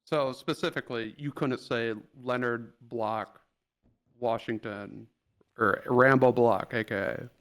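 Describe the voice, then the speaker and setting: A man playing a trial lawyer in improvised roleplay, questioning in a halting, repetitive, insistent way. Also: medium pitch, steady tone. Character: pushy, rambling male trial lawyer.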